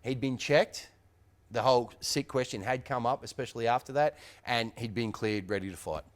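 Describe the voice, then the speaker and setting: A man's voice: medium pitch, moderate pace. A man hosting a boxing show, leading an upbeat casual rundown of fight week. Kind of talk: casual sports chat.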